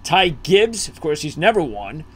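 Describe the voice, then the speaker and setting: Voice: mid-range voice, very animated, unhurried, breathy edge. A host leading a casual racing betting preview over a video call, thinking aloud with fillers while scanning a list.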